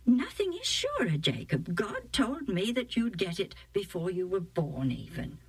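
A woman's voice: mid-range voice, huge pitch swings, measured pace, a little mellow, breathy edge, dry recording, full character voice. A woman voicing a fond, coaxing, scheming middle-aged mother who dotes on her son, in a Bible storybook. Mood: assured, scheming. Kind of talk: acted Bible storybook dialogue.

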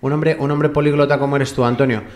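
A man's voice: medium pitch, steady tone, fast talker, darkish tone. A man from Spain hosting a radio travel show, jokey and laughing often as he chats with guests. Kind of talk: lively radio chat.

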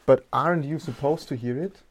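A man's voice: medium pitch, normal speed, slight background noise. A young man hosting a live radio show in a studio, talking in a loose, rambling, easygoing way. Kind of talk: casual live radio chat. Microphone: studio mic, wearing headphones.

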